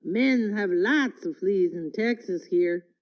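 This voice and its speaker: A woman's voice: medium pitch, slow, pitched below the performer's own voice, close to an everyday voice. A woman voicing a plain-spoken, skeptical cowboy in a storybook, with a folksy, colloquial way of talking. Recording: dull, lo-fi audio.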